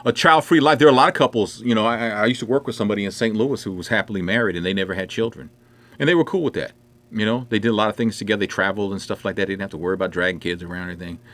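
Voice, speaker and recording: A man's voice: lowish voice, racing, a bit bright. A middle-aged man chatting casually on a livestream, answering viewer comments in a rambling way with many fillers. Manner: conversational, rambling with many "you know" fillers. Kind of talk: casual chat. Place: indoors at home.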